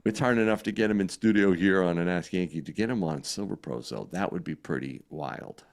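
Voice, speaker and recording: A man's voice: low-pitched, a little fast, gravelly. A man with a Boston accent, co-hosting a live stream in casual chat, laughing often. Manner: laughs often, lively and chatty. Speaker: co-host.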